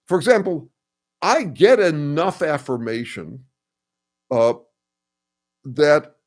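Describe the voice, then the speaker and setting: Older guy w a bass voice thinking out loud on a stream, lots of ums and uhs. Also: sing-song. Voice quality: says he has a bass voice. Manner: thoughtful, halting, full of ums and restarts. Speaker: host answering viewer comments. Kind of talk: casual livestream chat.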